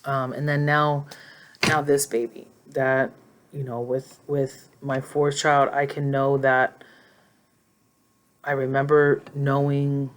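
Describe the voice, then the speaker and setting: A woman's voice: very deep voice, little pitch movement, slow, slight background noise. A woman in her forties talking casually and emotionally to her phone in a personal vlog, rambling and reflective. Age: 40s. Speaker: vlogger. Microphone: phone held in hand.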